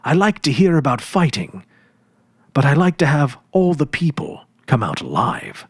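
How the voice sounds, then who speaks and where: A man's voice: on the high side, huge pitch swings, moderate pace, slightly rough, dry recording, studio quality, voice pushed up, full character voice. A man voicing a confident, eager ten-year-old farm boy in a storybook, earnest and emphatic, with a boastful know-it-all manner.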